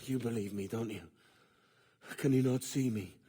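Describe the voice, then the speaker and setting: A man's voice: mid-range voice, normal speed, warm tone, a little hiss or hum, close to an everyday voice. Swaggering Welsh pirate captain, played by a man. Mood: wistful, dreamy. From Wales. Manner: swaggering, old-fashioned seafaring phrasing. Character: roguish young male pirate captain, ambitious and boastful. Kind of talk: video game cutscene acting.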